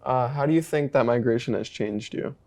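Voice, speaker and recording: A teenage boy reads interview questions quickly, starting most of them with "uh." His voice sits at a middle pitch. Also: darkish tone. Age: teens. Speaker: student interviewer.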